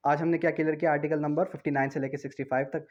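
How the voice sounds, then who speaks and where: Higher-voiced Pakistani man giving a lecture. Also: little pitch movement, rapid-fire, a little mellow. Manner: didactic, poses questions then answers them, repeats key phrases; mixes English legal terms into speech. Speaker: law lecturer. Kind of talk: explanatory lecture with everyday examples. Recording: dull, muffled lo-fi sound.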